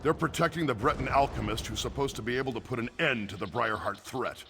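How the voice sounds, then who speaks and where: An actor playing a gruff orc soldier in a video game, weary and commanding, with terse, urgent delivery. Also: male voice, medium pitch, fast talker, background noise, close to an everyday voice. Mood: grim, urgent. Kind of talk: scripted video game dialogue.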